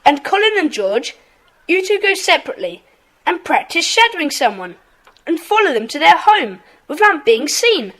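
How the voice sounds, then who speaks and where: A woman playing an earnest, bossy schoolboy club leader in a children's audio drama, brisk and insistent. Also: extremely high pitch, big pitch swings, fairly bright tone, exaggerated voice, rough recording.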